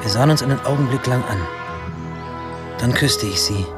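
A man's voice: mid-range voice, normal speed, close to an everyday voice. An actor playing a young man candidly narrating his own story in a German radio drama. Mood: reflective. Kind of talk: scripted first-person radio drama narration. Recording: clear music under narration.

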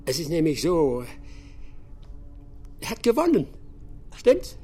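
An actor playing a calm, sly, unflappable rural man in an audio drama, dryly polite and teasing. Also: on the high side, takes it slowly, slight background noise, full character voice. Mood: deadpan teasing.

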